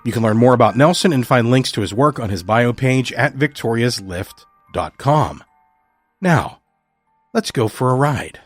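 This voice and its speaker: A man speaking as a podcast host, giving a measured, sincere scripted introduction to listeners. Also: mid-range voice, expressive, dry recording. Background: music.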